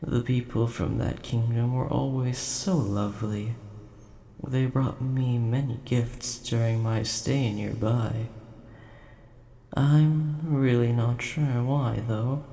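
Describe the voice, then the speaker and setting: A man plays a huge, age-old dragon with a scholarly bent, gentle and formal. He rambles unhurriedly in a dark, relaxed tone, like a storyteller in an audio roleplay. The sound is roomy and echoing. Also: mid-range voice, slow pace, creaky. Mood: fond, wistful. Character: large ancient nerdy dragon, gentle, scholarly, coin collector. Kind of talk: scripted audio roleplay addressed to the listener. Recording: roomy echo, faint background noise.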